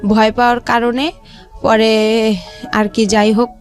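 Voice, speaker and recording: High-pitched Bangladeshi woman telling personal story. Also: flat delivery, measured pace, faint music behind. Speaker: guest telling their life story.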